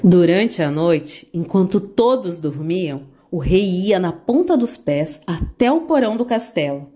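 A woman narrating a children's fairy tale in an expressive, animated way. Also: on the low side, a little slow. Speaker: storyteller.

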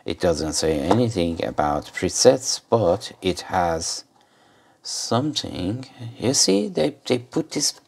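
A man's voice: sits low, big pitch swings, takes it slowly, vocal fry, a little mellow. A man giving a casual, unscripted product demonstration, rambling and hesitant as he goes. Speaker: gadget reviewer.

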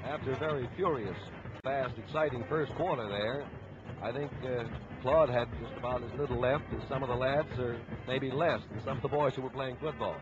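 A man's voice: mid-range voice, moderate pace, background noise. A man giving halftime commentary on a 1950s sports radio broadcast, folksy and repeating phrases for emphasis. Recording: dull 1950s radio, music behind. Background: marching band music.

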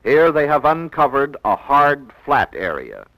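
An American man narrating a 1960s educational film in a measured, didactic, clearly enunciated way, on an old film soundtrack. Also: on the high side, wildly swooping pitch, pushed and bright, no room sound, poor audio.

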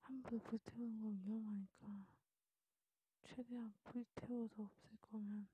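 A woman voicing a cautious, scheming vampire in a hushed, breathy ASMR whisper, unhurried and conspiratorial. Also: fairly high pitch, flat delivery, dry recording, poor audio. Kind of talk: whispered ASMR storytelling role-play.

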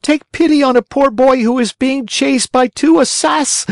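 A man voicing an excitable young wooden puppet boy in a children's storybook, beseeching and exclaiming. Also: very high voice, moderate pace, gravelly, no echo, clean studio sound, voice pushed up. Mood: desperate pleading.

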